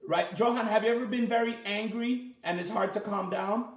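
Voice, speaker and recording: A man leading a children's story time as a teacher, reading aloud and asking the listeners simple questions in an animated way. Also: high-pitched, brisk. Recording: roomy, echoey sound.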